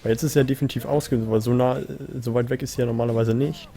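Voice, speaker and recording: A man's voice: medium pitch, steady tone, rapid-fire, mellow. A German man giving casual let's play commentary, musing and thinking aloud. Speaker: let's player.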